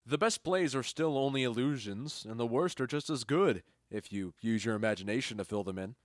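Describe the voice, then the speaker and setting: An actor playing a witty, indulgent duke who comments wryly in a comic audio drama. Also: male voice, medium pitch, lively intonation, fairly quick, dry recording, clean studio sound, lightly acted. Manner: wry, amused commentary. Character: witty, indulgent duke watching an amateur play. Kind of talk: modernized Shakespeare audio drama comedy.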